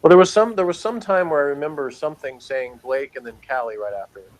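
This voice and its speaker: A man's voice: on the high side, sing-song, fast talker, poor audio. A man co-hosting a casual review chat, talking in a rambling, matter-of-fact way. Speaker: podcast co-host reviewing a TV show.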